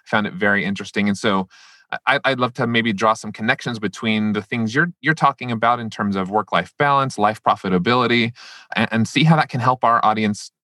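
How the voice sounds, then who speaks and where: A man with a low but bright, crisp voice hosts a podcast conversation and asks his guest friendly, guiding questions. The sound is dry and close, with no room echo. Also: fairly quick. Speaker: podcast host. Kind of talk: casual podcast interview.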